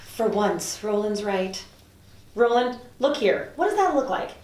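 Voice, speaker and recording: A woman's voice: medium pitch, big pitch swings, a little slow, darkish tone. Snippy, bossy woman, played by an actress, echoey. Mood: fussy. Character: vain, snippy, bossy female housemate in hell. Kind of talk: dramedy table read acting. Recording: roomy echo, distant microphone.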